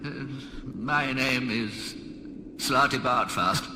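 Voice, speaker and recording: An actor playing an ancient, mild-mannered alien planet builder in a radio comedy, grandiose but lapsing into vague, chatty asides. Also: male voice, mid-range voice, extremely slow. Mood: grave, apologetic. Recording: cavernous reverb, noisy background.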